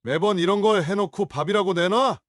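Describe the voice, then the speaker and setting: An actor playing a hostile, hungover young adult son in an audio drama, sneering and confrontational. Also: male voice, sits high, a little fast, no room sound, clean studio sound, lightly acted. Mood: raging.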